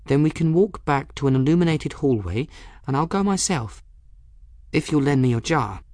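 Guy doing a plucky practical girl in a storybook, higher voice, earnest and a bit formal. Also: animated, moderate pace, darkish tone, dry recording, clean studio sound. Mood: determined. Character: brave, firm, practical young girl explorer.

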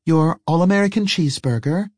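Audiobook role: guarded, dry woman on the road , played by a male narrator, raised pitch, brisk and formal. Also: a little mellow, husky, no echo. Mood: brisk, polite.

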